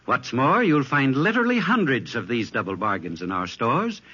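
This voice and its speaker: A male pitchman reads a vintage radio ad with a husky, forceful voice and lively swings in pitch. His delivery is emphatic and salesmanlike, and the recording is muffled. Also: normal speed. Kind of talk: 1940s-50s radio advertising.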